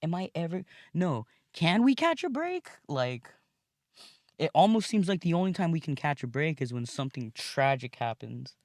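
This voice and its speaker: A young man hosting a casual solo podcast from inside his car, recorded on a phone, rambling and swearing freely in a thin voice without much bass. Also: very animated, moderate pace, dry recording, studio quality. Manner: rambling, profane, laid-back with frequent fillers. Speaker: podcast host.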